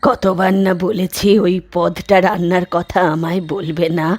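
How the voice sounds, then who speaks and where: A woman's voice: fairly low pitch, steady tone, a little slow. A woman voicing a grieving, affectionate old village aunt in a cartoon, with a plaintive, homely manner. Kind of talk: cartoon voice acting.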